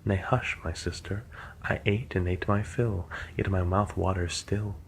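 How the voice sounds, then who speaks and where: A man reading the lines of an eager, dreamy young woman, in a soft, partly whispered voice. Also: low voice, fairly even intonation, a little slow, creaky, slight background noise.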